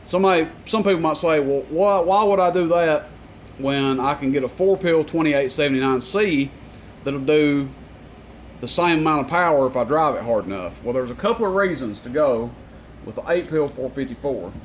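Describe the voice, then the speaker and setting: A man's voice: on the high side, expressive, moderate pace. A man giving an informal, matter-of-fact, rambling rundown of technical gear as a seller. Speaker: radio and amplifier seller presenting inventory. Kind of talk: informal product rundown to camera. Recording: noticeable background noise.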